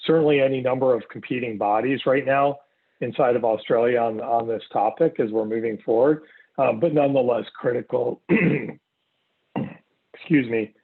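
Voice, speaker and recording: A man with a hoarse, fading voice speaks on a narrow, phone-like line. He gives a panel answer haltingly, restarting phrases as he goes. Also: mid-range voice, steady tone. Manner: hesitant, frequent restarts. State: losing his voice.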